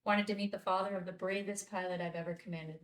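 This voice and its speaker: A woman's voice: fairly low pitch, steady tone, fast, close to an everyday voice, low-quality recording. Woman acting a direct military commander. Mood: reflective. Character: commanding female military officer, now retired. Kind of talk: screenplay table read.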